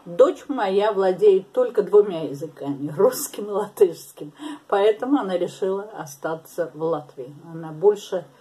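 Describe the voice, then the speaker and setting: A woman's voice: mid-range voice, expressive, moderate pace, dark tone, husky. An older woman from Latvia, interviewed in a small living room and speaking frankly, with laughing asides, to a camera across the table. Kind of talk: casual interview.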